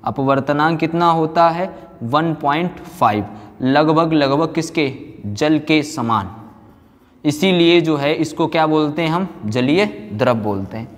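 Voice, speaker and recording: A man's voice: fairly high pitch, unhurried. A man giving a classroom lecture, repeating phrases for note-taking and answering his own questions. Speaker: physics teacher. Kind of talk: classroom lecture, explaining and dictating notes. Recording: echoey room with long reverb.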